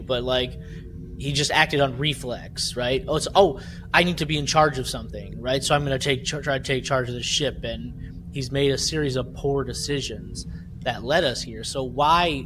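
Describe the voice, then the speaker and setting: A man hosting a podcast, recapping a story in a rambling casual way and often checking in with "right?". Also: medium pitch. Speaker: podcast host. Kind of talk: casual chat recapping an audio drama. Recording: clear background noise.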